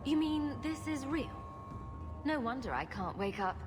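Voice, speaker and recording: An actress playing a sarcastic young rogue adventurer in a fantasy game, with a dry, teasing manner. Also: female voice, high-pitched, sing-song, unhurried. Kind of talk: fantasy video game acting. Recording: loud music behind the voice.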